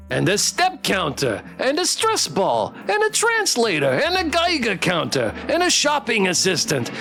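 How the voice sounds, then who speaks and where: A woman voicing a smug, indignant little wellness plush toy in a visual novel, boasting like a sales pitch. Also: creaky, fairly bright tone, exaggerated voice. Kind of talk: visual novel character voice read aloud.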